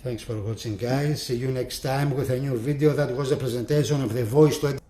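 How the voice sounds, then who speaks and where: A man giving a casual, unscripted product walkthrough as a reviewer, with halting delivery and repeated phrases. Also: medium pitch, fairly even intonation, dark tone.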